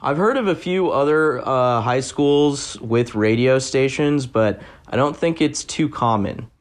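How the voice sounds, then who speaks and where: A man's voice: mid-range voice, measured pace. A man who is a reporter, answering interview questions in a loose, casual way, full of likes and uhs. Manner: loose, filler-heavy talk with many likes and uhs.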